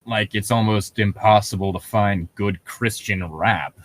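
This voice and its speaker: Man, low voice, dry close sound, casual opinionated chat, rambling, swears freely. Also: fairly even intonation, unhurried, a bit bright, no room sound.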